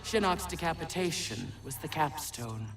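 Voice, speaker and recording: Stern accusing otherworldly servant, high for a man, declamatory, formal, distant, loud music behind. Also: lively intonation, normal speed. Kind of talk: dramatic video game acting.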